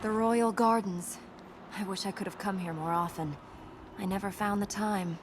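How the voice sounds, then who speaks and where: A woman playing an earnest, dutiful young princess in a video game, matter-of-fact with a dry, gently teasing wit. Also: mid-range voice, steady tone, moderate pace, natural voice. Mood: composed. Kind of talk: video game dialogue acting. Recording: noisy game ambience behind voice.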